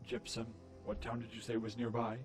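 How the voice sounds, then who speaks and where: A man playing a dutiful pony general, firm and formal, in an animated drama. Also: medium pitch, brisk, faint music behind, natural voice. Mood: explaining. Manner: firm, formal, deferential to royalty. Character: stern, dutiful male pony general serving a queen. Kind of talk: animated fan audio drama acting.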